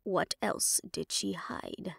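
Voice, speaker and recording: A woman's voice: fairly low pitch, slow pace, creaky, slightly rough, no echo, studio quality, exaggerated voice. A woman playing a tough, threatening young supernatural woman in an audio roleplay, blunt and sardonic. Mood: curt, probing.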